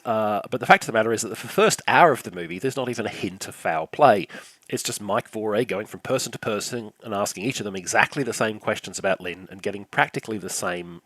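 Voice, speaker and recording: An older man hosting a film review show, a little croaky from illness, speaking with dry sarcasm and frequent filler sounds. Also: on the low side, a bit bright, no room sound. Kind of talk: scripted review narration.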